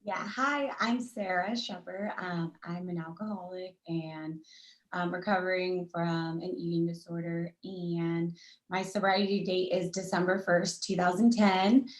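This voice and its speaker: A soft-spoken woman introduces herself on a panel over a video call. Her voice is fairly low and carries a roomy echo. She speaks hesitantly and fills her pauses with ums. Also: fairly even intonation. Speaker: realtor, panelist. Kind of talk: casual personal introduction.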